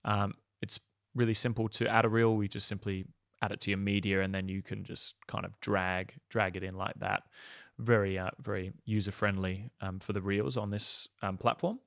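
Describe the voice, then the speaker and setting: Man, 30s, casual coach read, lowish voice, dry close sound, loose with filler words. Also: little pitch movement, normal speed, gravelly, a bit bright, no echo. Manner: casual and upbeat, many ums. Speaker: acting coach. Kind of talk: online tutorial, screen walkthrough.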